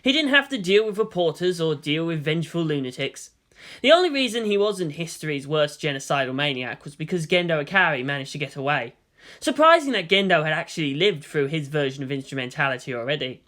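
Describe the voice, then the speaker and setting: A narrator reading a story aloud in an expressive, scripted storytelling style. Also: medium pitch, brisk. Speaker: audiobook-style reader of fan fiction.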